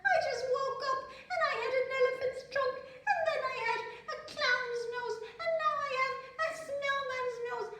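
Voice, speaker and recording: A very high, plaintive little girl princess from a storybook, acted out by a woman. She pleads emphatically and sounds upset and childlike. The recording is very echoey and distant from the mic. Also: moderate pace, hardly any pauses, over a noisy crowd. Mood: upset, pleading.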